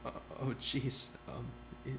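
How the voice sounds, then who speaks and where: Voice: a little slow, a bit bright, a little hiss or hum, close to an everyday voice. An actor voicing a jumpy young man who stammers and talks anxiously. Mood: stammering.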